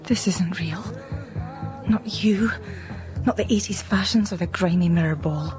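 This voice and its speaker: A woman's voice: lowish voice, measured pace, close to an everyday voice. An actress playing a defiant, grieving mother in an audio drama, blunt, sardonic and emotional as she confronts impostors. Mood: defiant, bitter. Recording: voice under loud music.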